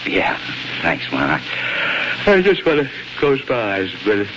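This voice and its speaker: Boyish, eager son, hugely animated intonation; dull, noisy vintage radio recording. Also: male voice, on the low side, normal speed. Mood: tired, happy. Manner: excitable, boyish. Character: eager, boyish grown son, a proud new judge. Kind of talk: 1940s radio acting.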